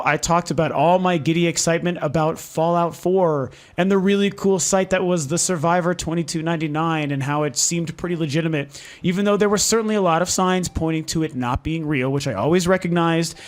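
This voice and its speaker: A man hosting a video game vlog, hoarse from losing his voice, talking in a candid, frustrated way and swearing freely. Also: on the high side, brisk. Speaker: video game channel host. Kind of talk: casual ranting vlog. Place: lives in Denver.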